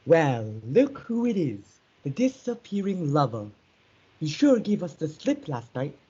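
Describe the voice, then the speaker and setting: A woman's voice: deep voice, big pitch swings, a little slow, warm tone, breathy edge, exaggerated voice. A young woman reading a cocky, teasing young man in amateur reader's theatre. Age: teenager. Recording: dull lo-fi audio.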